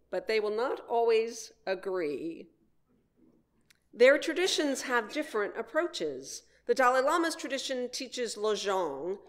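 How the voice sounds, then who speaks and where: Woman, minister, sermon delivery, very expressive pitch movement, slow deliberate phrasing, frequent pauses. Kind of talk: sermon, prepared message.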